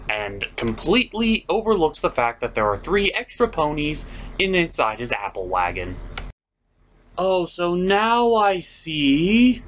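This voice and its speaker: A man delivers a scripted, jokey commentary with theatrical sarcasm. His pitch jumps around in a lively way, and there is some faint noise in the background. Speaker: host of a cartoon episode review.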